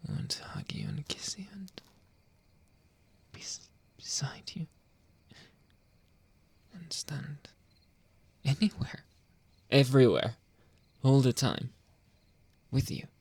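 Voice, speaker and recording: A man playing a jealous, controlling young boyfriend in an intimate ASMR roleplay, halting and pleading, often dropping toward a whisper. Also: medium pitch, huge pitch swings, creaky, a little mellow, breathy edge, no echo, full character voice. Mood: clingy, yearning. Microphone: close, dry microphone.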